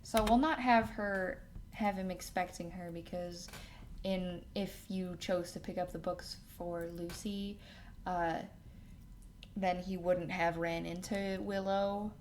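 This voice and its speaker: A woman narrating a casual software tutorial as its host, working at a computer indoors and sometimes murmuring to herself. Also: lowish voice, fairly even intonation, slow, slight background noise.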